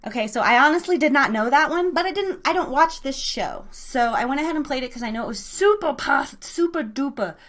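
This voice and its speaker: A woman with a fairly high voice hosts a live radio guessing game. Her delivery is casual and excitable, with slangy, informal encouragement for the players. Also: brisk, hardly any pauses. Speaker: live show host running a guessing game.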